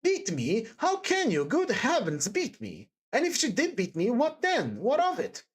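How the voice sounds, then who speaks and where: A woman narrator performs a timid young woman in deep distress from a classic storybook. Her voice rises high and swings widely as she pleads with fervent compassion. She stammers and repeats herself emphatically. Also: wildly swooping pitch, husky, heavily acted. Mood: fervent, indignant. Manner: halting, stammering, breathless repetitions and emphatic exclamations. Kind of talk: dramatised audiobook character dialogue.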